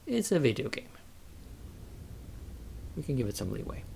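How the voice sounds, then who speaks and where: A man's voice: medium pitch, very animated, moderate pace, vocal fry. Musing man commentating over noisy game audio. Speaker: video game let's player.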